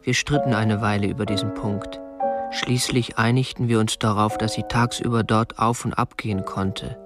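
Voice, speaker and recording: A man's voice: fairly low pitch, fairly even intonation, normal speed, over music, natural voice. An actor playing a prim, unremarkable man in his twenties, a captor narrating matter-of-factly in a radio drama. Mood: stiff, matter-of-fact. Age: 20s. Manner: matter-of-fact, stiffly proper. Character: prim, unremarkable 27-year-old male captor, petty-bourgeois butterfly collector. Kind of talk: first-person narration and dialogue in a radio drama. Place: dry studio.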